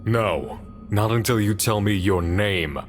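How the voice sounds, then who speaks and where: A man playing a troubled, defiant man trapped in a story, with intense, halting, demanding delivery in a dramatic visual novel style. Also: on the low side, soft background music. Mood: agitated, demanding.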